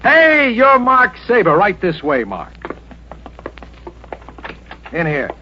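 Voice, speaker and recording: Brash american showbiz agent, high voice, swoops around, oily, old radio drama. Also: male voice, wildly swooping pitch, slow, sharp tone, exaggerated voice. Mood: slick, coaxing. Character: fast-talking, self-important male Hollywood agent, slick and brash. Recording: dull noisy old radio recording.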